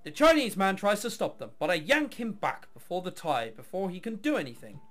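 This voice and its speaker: Male let's player, high voice, very lively intonation, dramatic story reading with wry commentary, music behind. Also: fairly quick. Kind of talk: reading game text aloud with casual commentary.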